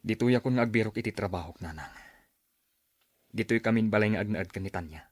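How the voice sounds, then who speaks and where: An actor in a radio drama playing a troubled, downcast grown son. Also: male voice, fairly low pitch, quick pace, dry recording, studio quality, natural voice.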